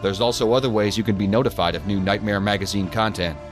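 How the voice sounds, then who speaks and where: A man's voice: fairly low pitch, fairly even intonation, fast talker. A man hosts a podcast, reading a scripted outro announcement to listeners. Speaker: podcast host. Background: music bed.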